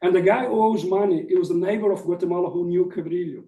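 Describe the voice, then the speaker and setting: A man's voice: sits high, fast, dark tone, muffled recording. Male scholar in Q&A, explanatory, picked up at a distance in a reverberant conference room. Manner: explanatory, often tags points with "right?". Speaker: academic presenter answering questions. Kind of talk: spontaneous academic question-and-answer. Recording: echoey room, distant mic.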